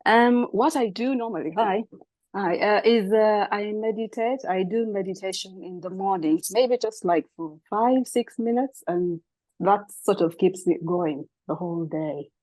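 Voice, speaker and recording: A woman's voice: mid-range voice, a little slow, a little mellow, dry recording, low-quality recording. A Ugandan woman from Kampala sharing casually on an online call. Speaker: community member sharing.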